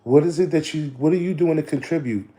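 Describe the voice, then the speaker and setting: Man, a bit hoarse, mellow low tone, repetitive motivational coach. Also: fast. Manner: preachy, repeats lines, calls listeners "family". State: slightly hoarse. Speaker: digital marketing coach. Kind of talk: casual motivational live stream.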